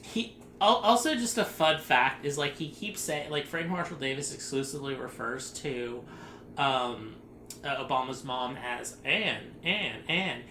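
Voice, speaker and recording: A man's voice: medium pitch, a little slow, pushed and bright. A man hosting a casual group podcast, rambling and sarcastic, laughing often as he talks. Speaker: podcast host. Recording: echoey room, faint noise.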